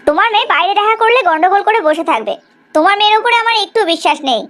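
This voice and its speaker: A woman's voice: very high voice, animated, rapid-fire, a bit bright. A woman playing an indignant, bossy middle-aged mother in a cartoon family drama, scolding and exclaiming. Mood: bossy, displeased.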